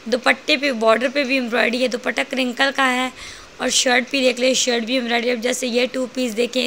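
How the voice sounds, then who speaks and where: Woman with a gravelly, flat voice. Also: fairly high pitch, slight background noise. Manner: chatty, praising, listing prices. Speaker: vlogger showing clothes at a shop. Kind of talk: casual shopping vlog narration. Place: indoor clothing shop.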